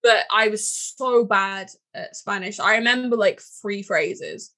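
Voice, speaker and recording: A woman's voice: mid-range voice, unhurried, pushed and bright. A young woman with an English accent, chatting casually, self-mocking and laughing often. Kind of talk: casual chat.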